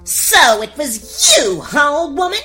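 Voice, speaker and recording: High-pitched, petulant teenage princess. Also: female voice, huge pitch swings, takes it slowly, bright, forceful tone, faint music behind, full character voice. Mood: indignant. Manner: melodramatic, petulant. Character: whiny, spirited young princess, teenage girl. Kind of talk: comic fairy-tale audio drama.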